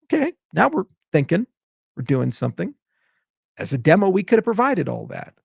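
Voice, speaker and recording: A man's voice: high voice, wildly swooping pitch, normal speed, creaky, slightly rough, no echo. A man from Hawaii delivering an exasperated, rambling unscripted monologue as a tech founder giving commentary.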